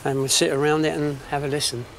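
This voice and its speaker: A man's voice: on the high side, little pitch movement, fast, gravelly, rough recording. A man in his sixties chatting casually and reminiscing as a guest in a barn workshop. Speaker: guest bringing an heirloom for repair. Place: large timber barn workshop.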